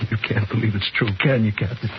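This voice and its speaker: An actor playing a wounded, delirious, haunted man in an overwrought 1950s radio thriller style. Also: mid-range voice. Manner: overwrought, dramatic. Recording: muffled, noisy old 1950s radio recording.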